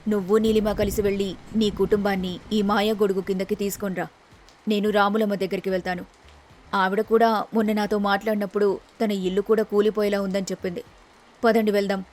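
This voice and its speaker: A woman's voice: mid-range voice, flat delivery, brisk, a little mellow, voice pushed down, close to an everyday voice. A woman voicing a firm, caring older mother-in-law who gives advice in a storybook tale. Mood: amazed, decisive. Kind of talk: storybook character dialogue.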